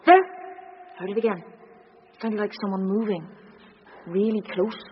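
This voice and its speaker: An actress playing an eager, curious young woman in a naturalistic radio drama, with a wide-eyed, excitable manner. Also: mid-range voice, unhurried, lightly acted. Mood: hushed, curious. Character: eager, curious young female companion to a detective. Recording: slightly noisy, dull radio recording.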